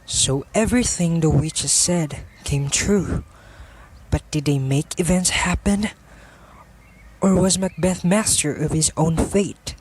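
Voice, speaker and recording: A man's voice: fairly high pitch, takes it slowly, a little mellow, breathy edge. A man giving scripted narration as the narrator of an amateur radio drama. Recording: music behind the voice.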